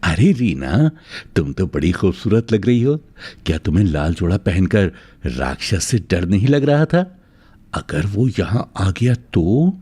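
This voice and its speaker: A cursed werewolf in human form acted by a man, fairly low in pitch. He is bitter and menacing, speaking in dramatic threats and accusations for a cartoon fairy tale. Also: big pitch swings, measured pace, mellow, breathy edge, exaggerated voice. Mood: sly, taunting.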